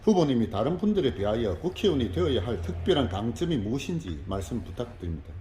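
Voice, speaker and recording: A man interviewing formally, reading out prepared questions in a measured way. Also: mid-range voice, sing-song, mellow. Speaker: interviewer, civic group leader. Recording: echoey room, faint background noise.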